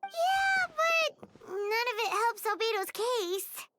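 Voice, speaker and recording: A woman voicing a tiny, earnest, chirpy childlike companion with a squeaky voice in a video game. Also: big pitch swings, slow pace, heavy background noise, heavily acted.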